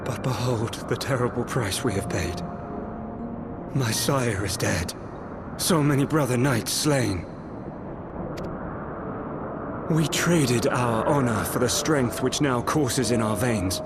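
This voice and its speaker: A man's voice: mid-range voice, a little slow, heavy background noise, natural voice. A man playing a solemn, penitent noble knight prince in a fantasy video game, speaking in grave, formal, archaic oratory. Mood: mournful.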